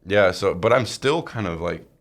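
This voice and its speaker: A young American man in his twenties chatting casually, in a halting, rambling way that often doubles back to correct itself. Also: deep voice, animated. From rural Iowa, United States. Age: 20s. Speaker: podcast co-host. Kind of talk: casual chat.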